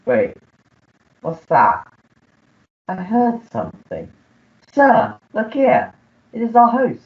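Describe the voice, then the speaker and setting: A woman playing a polite, formal manservant in a radio melodrama, with a deferential, measured manner. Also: mid-range voice, huge pitch swings, muffled recording. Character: polite, dry, self-serving manservant to a gentleman. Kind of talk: melodramatic radio play acting.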